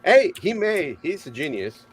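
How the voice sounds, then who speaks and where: Higher-pitched man chatting haltingly. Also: big pitch swings, crowd noise. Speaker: hobbyist guest on the stream. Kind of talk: casual show-and-tell chat. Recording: faint noise, room ambience.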